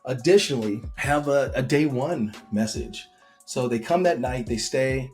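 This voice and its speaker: A man casually sharing tips for rental hosts, talking in a relaxed way with frequent pauses and fillers. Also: medium pitch, faint music behind. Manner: relaxed, conversational with frequent ums. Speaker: host. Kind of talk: casual advice talk.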